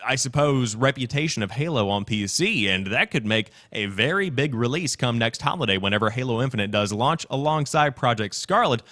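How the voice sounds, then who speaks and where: A man's voice: mid-range voice, animated, brisk, hardly any pauses, fairly bright tone. A man hosting a gaming news show, mixing read-out news with his own off-the-cuff commentary.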